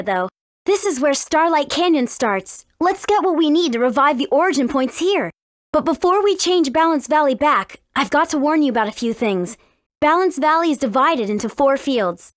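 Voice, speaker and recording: A friendly teenage princess heroine in a video game, speaks in a high, very animated voice. She is earnest and careful, and the recording is dry and close. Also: no room sound. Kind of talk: video game dialogue acting.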